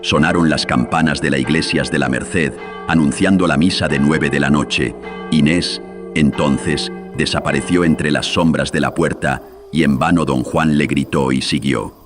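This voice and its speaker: Man voicing an extremely deep ominous storyteller. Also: steady tone, normal speed, over music. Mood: ominous, solemn. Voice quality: very deep, processed voice. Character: ominous storyteller of an old colonial horror legend. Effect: pitch and filter processing. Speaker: narrator. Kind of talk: scripted horror radio-drama narration.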